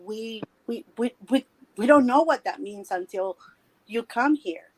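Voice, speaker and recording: A woman's voice: a little high, expressive, darkish tone, poor audio. Earnest Salvadoran woman calling in. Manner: earnest, repeats words, halting. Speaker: guest caller. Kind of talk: personal storytelling.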